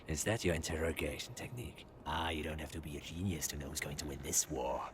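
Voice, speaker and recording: Smug, low-voiced German villain. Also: male voice, background noise. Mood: smug, sardonic. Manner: condescending, sardonic, unhurried phrasing. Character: smug, taunting Nazi German scientist, captive. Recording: loud music behind.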